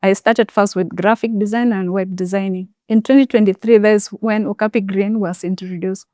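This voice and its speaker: A young woman whose first language is Anuak, a solar installation facilitator, calmly recounting her schooling in an interview. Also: mid-range voice, no echo, studio quality. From South Sudan region, Anuak speaker. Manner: measured, step-by-step storytelling with frequent "so".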